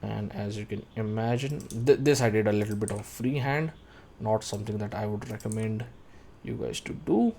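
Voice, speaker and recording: A man in his thirties narrating a step-by-step software tutorial, explaining each action as he does it. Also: lowish voice, moderate pace, creaky, a little hiss or hum. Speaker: tutorial instructor.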